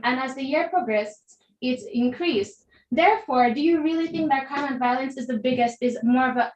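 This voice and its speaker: A young Jamaican woman giving a formal, emphatic debate speech, rhetorically addressing the judges. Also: sits high. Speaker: debater, deputy prime minister speaker. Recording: echoey room.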